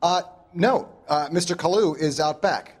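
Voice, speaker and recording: High-pitched, polite store clerk. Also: male voice, unhurried, breathy edge. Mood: polite, uneasy. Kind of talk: dramatic video game acting.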